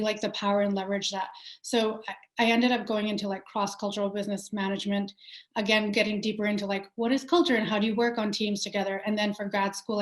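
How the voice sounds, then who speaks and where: Young woman from Pakistan, founder in an interview, echoey room, thoughtful, lots of fillers. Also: mid-range voice. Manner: thoughtful, with many fillers and restarts. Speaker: company founder and CEO. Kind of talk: conversational interview answers. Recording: roomy echo.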